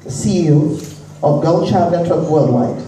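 A woman giving a formal introduction speech at a conference, speaking with deliberate, solemn emphasis. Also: low voice, warm tone. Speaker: event presenter introducing the keynote speaker. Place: on stage in a conference hall. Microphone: handheld PA mic, picked up by distant audience camera. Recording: echoey hall from far off, background noise.

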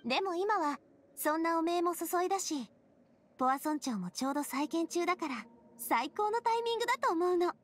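アニメ調ゲームで、穏やかで茶目っ気のある若い女性リーダーを演じる女性。くだけた女性らしい口調で真摯に話す。. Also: female voice, very high voice, expressive, brisk, a little hiss or hum. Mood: composed, earnest. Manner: casual, feminine, softly earnest. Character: bright, resilient young woman leader, gentle and playful. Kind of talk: Japanese anime game voice acting.

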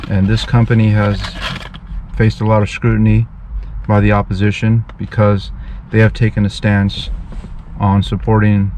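A man's voice: fairly low pitch, darkish tone, slight background noise. Earnest preacher man vlogging in a car. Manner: slow, earnest, pious, slightly formal. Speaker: street preacher. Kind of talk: casual vlog with preaching asides. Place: parked car, indoors. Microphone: dash camera in front of him.

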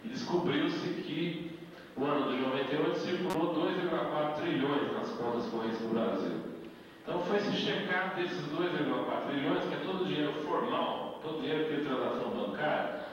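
A man's voice: a little high, lively intonation, brisk, slight background noise. Middle-aged Brazilian man lecturing in an echoey hall. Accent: Brazilian Portuguese. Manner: explanatory, asks rhetorical questions and tags with "né?". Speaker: invited speaker. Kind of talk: lecture at a debate event. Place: indoor meeting hall. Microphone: handheld mic, filmed by a far camera. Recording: echoey hall audio from far off.

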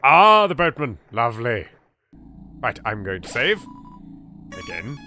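A man's voice: medium pitch, unhurried, noisy background. A man with an English accent giving casual, chatty, reactive gameplay commentary as a let's play host. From England. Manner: animated, reactive, politely chatty. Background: game music.

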